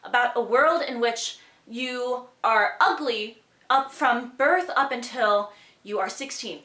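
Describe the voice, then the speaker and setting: A woman's voice: a little high, fairly bright tone. A young woman giving a casual, chatty book review, speaking enthusiastically and conversationally. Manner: enthusiastic, conversational, asking rhetorical questions. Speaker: book reviewer hosting her own channel. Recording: roomy indoor echo.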